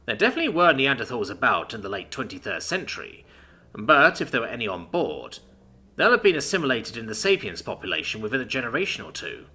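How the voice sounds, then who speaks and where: A man reading a first-person science fiction story in an animated way and acting out the characters. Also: mid-range voice, fast talker, slightly rough. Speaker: narrator.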